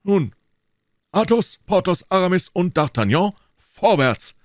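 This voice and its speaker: A man's voice: on the high side, expressive, normal speed, slightly rough, no echo, studio quality, voice pushed up. Man playing gallant musketeer, stately, raised voice. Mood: rallying. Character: noble, gallant male musketeer, gracious leader of comrades.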